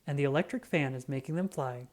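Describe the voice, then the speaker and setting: A woman's voice: a bass voice, quick pace, a little mellow. A woman voicing an eager, bossy but caring young girl in a storybook, coaxing and exclaiming. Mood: reassuring, urging. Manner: coaxing, exclaiming, sometimes whispering.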